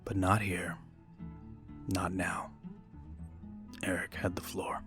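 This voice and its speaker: Quiet guy narrating a horror story, measured and low-key, music playing behind him. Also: little pitch movement. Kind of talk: scripted narration.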